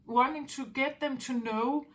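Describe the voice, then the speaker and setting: Slow, musing woman with a higher voice. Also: fairly even intonation. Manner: musing, repeats phrases, asks "right?". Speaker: intuitive tarot reader. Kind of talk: unscripted spiritual monologue.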